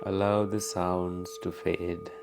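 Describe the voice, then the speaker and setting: A man with a low, gravelly voice guides a meditation at an extremely slow pace. He speaks calmly as a teacher would, leaving long pauses between short phrases, and his tone stays quite even. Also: heavy background noise. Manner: calm, unhurried, with long pauses.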